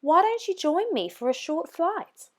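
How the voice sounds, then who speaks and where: A woman's voice: very high voice, big pitch swings, a little slow, pitched above the performer's own voice, heavily acted. A woman voicing a friendly, adventurous young woman inventor in a storybook, keen and chatty. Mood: eager.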